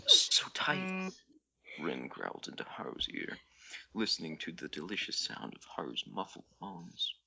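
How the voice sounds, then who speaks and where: A man's voice: rumbling low, lively intonation, normal speed, creaky, sharp tone. A man narrating fanfiction on a podcast in a theatrical, comic dramatic reading, stumbling over the odd word. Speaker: podcast member reading fan fiction.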